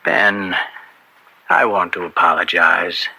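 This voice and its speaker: A husky, sharp-edged crooked senator. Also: male voice, sits low, slow pace, exaggerated voice, poor audio. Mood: contrite. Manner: florid, placating, hesitant. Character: smooth, evasive, crooked politician. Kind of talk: old-time radio drama acting. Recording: vintage radio drama broadcast.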